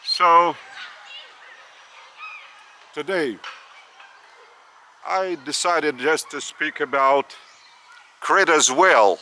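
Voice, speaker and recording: An older man with a Lithuanian accent gives a spiritual teaching outdoors, speaking slowly with halting repeats. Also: a little high, pushed and bright, slight background noise. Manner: slow, halting, repeats words. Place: outdoors by a school stadium.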